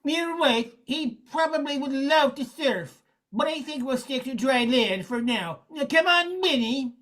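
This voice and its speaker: A woman's voice: high voice, animated, unhurried, fairly bright tone. A woman playing a friendly but harried male dog owner, polite and apologetic, in an amateur radio play.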